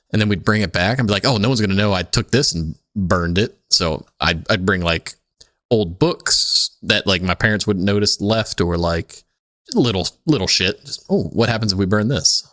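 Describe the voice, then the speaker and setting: A man co-hosting a podcast with casual, comedic chat, rambling and halting as he tells stories. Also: on the low side, a bit bright, dry recording, studio quality. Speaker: podcast co-host.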